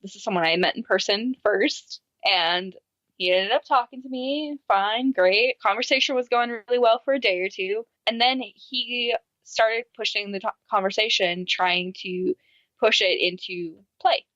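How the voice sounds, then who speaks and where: A woman co-hosting a casual podcast, animated as she acts out quoted messages and laughs easily. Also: mid-range voice, unhurried, no room sound, dull audio. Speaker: podcast co-host.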